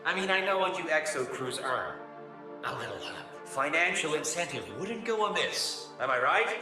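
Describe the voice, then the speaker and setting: A man plays an ingratiating game scientist with lively, swinging intonation. He is glib and chummy, heard at a distance in an echoing space with music behind. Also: a little high, moderate pace, close to an everyday voice. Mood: sly, ingratiating. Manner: glib, hesitant hinting, over-friendly. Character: slick, wheedling male scientist with money on his mind. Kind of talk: video game cutscene acting.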